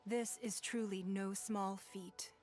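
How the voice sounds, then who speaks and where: A woman's voice: medium pitch, fairly even intonation, slow, darkish tone, faint music behind, close to an everyday voice. A woman playing a diplomatic, resolute city leader in a game, speaking formally and with composure. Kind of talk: dramatic game voice acting.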